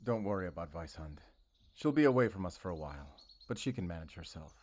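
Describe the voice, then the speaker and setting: Man playing a deep-voiced, brooding werewolf hunter. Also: expressive, a little mellow. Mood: gentle, reassuring. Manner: halting, measured, solemn, intimate address to listener. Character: brooding, guarded male werewolf hunter, devoted to duty. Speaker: voice actor in an audio roleplay. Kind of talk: cinematic audio roleplay acting.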